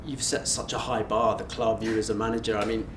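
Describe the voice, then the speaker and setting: A man's voice: lowish voice, little pitch movement, brisk. A man working as a sports reporter, tentatively putting and rephrasing questions at a press conference. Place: indoor press conference room. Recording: echoey room, clear background noise.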